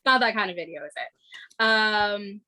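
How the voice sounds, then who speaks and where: A woman's voice: medium pitch, expressive, unhurried, sharp tone. Giggly woman in her thirties. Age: 30s. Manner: giggly, chatty, lots of fillers. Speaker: podcast co-host. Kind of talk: casual chat.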